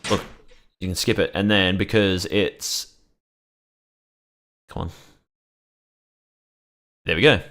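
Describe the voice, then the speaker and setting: A man's voice: sits low, fairly even intonation, slow pace, pauses often, fairly bright tone, a little hiss or hum. A man giving casual commentary over a game, rambling with fillers and swearing freely. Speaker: gaming streamer.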